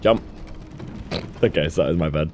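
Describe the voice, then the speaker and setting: A hoarse man whose voice is shot, casually chatting and teasing his co-host while they play a video game. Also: rumbling low, normal speed, a little mellow, slight background noise. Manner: teasing, swears freely, laughs often. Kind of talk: casual gaming chat.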